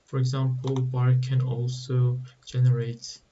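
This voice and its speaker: Slow, flat-voiced man explaining code. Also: mid-range voice, muffled recording, a little hiss or hum. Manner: slow, halting explanation with restarts. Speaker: programming tutorial host. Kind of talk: screen-recorded coding walkthrough.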